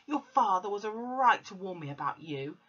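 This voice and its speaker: A woman reading a storybook aloud, putting on a furious, pompous bullying headmistress who rants and shouts. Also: mid-range voice, expressive, normal speed, breathy edge, muffled recording, voice pushed up. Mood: raging.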